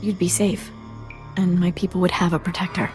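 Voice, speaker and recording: A woman's voice: on the low side, little pitch movement, a little fast, darkish tone, soft background music, lightly acted. A woman voicing a composed, protective alien village matriarch in a video game, soothing yet firm. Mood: firm, pleading. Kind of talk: video game cutscene acting.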